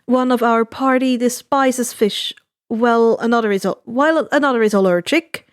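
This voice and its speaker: A woman's voice: on the high side, moderate pace, no room sound, clean studio sound, close to an everyday voice. A woman voicing a polite, fussy male magician villain in a story reading. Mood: bemused, polite.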